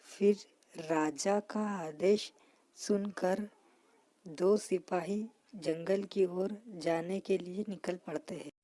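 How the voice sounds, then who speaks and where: A woman's voice: fairly low pitch, mellow, dry recording. A woman narrating a children's story as the storyteller.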